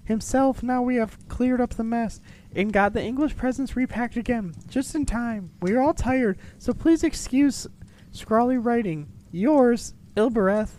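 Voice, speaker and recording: A man voicing a busy little elf secretary, chatty and earnest, in a put-on high voice for a storybook letter. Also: unhurried, a little mellow, slight background noise. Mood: weary, polite.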